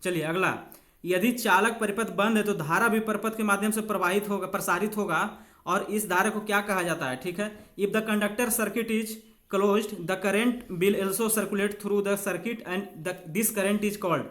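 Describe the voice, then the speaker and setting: A male teacher with a notably high voice. He works through exam questions step by step in two languages. His way of speaking is informal, addressing students like friends. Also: a little slow, fairly bright tone. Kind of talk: bilingual exam lesson, explaining questions step by step.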